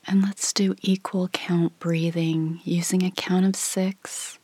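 A woman leading a guided meditation in a soft, breathy, near-whispered voice, gently and without hurry, leaving long pauses. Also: lowish voice, level tone, no echo. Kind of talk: guided meditation, slow calm instruction.

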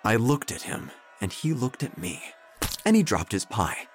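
An actor playing a melodramatic, self-important obituary writer who narrates an audio drama with theatrical pauses. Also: male voice, lowish voice, very animated, slight background noise. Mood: dry, dramatic. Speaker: narrator and protagonist. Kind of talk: first-person audio drama narration, gothic parody.

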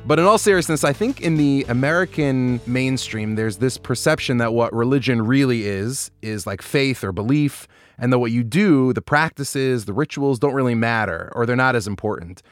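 A man's voice: mid-range voice, normal speed, no room sound. Articulate enthusiastic male podcast host. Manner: enthusiastic, articulate, lively emphasis. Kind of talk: interview podcast.

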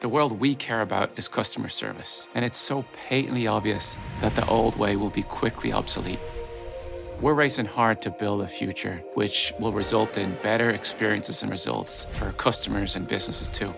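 A man delivering scripted promotional narration in deliberate, declarative sentences. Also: fairly low pitch, fairly even intonation, gravelly. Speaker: company leader. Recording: music bed under voice.

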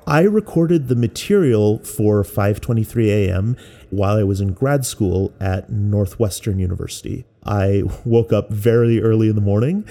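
A man who works as a musician explains experimental music in a measured, thoughtful way with pauses. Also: on the low side, mellow. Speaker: musician and composer. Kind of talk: explanatory talk about making experimental music.

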